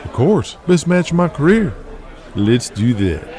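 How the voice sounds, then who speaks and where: A man's voice: fairly high pitch, very animated, measured pace, mellow, voice pushed up. A man voicing easygoing 1980s pro wrestlers talking casually backstage in an audio drama. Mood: concerned, friendly. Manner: casual locker-room talk. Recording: music under the voice.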